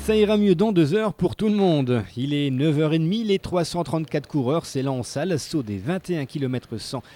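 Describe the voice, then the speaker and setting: A man's voice: fairly high pitch, lively intonation, moderate pace, warm tone. A man narrating a scripted local TV report in a playful, tongue-in-cheek manner.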